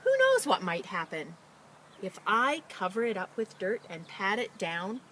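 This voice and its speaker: A woman who works as a teacher librarian, talking and reading a picture book to children in an expressive, enthusiastic way. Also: mid-range voice, a little slow, a little hiss or hum. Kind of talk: children's story read-aloud with casual introduction.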